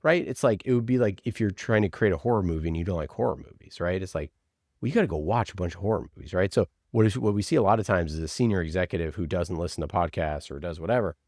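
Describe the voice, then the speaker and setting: A man's voice: low-pitched, quick pace, darkish tone, no room sound, studio quality. A man talking casually as an interview guest and podcast producer, giving emphatic, opinionated advice and acting out imagined exchanges.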